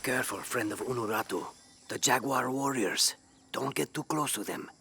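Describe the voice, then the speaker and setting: An actor playing a wary villager giving grim warnings in a video game. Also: male voice, mid-range voice, moderate pace, creaky, pushed and bright, slight background noise. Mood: wary, urgent.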